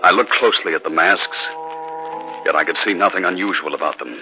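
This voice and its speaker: An actor playing a steady husband caught in an eerie haunting, with deliberate, dramatic 1950s radio delivery. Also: male voice, low-pitched, over music, close to an everyday voice. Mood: grave, uneasy. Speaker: actor narrating and playing the lead. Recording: dull, noisy 1950s radio recording.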